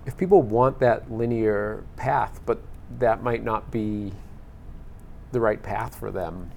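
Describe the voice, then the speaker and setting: Low-voiced man hosting a discussion. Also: takes it slowly, creaky, darkish tone, a little hiss or hum. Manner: conversational, searching questions with restarts. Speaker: podcast host. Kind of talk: panel discussion.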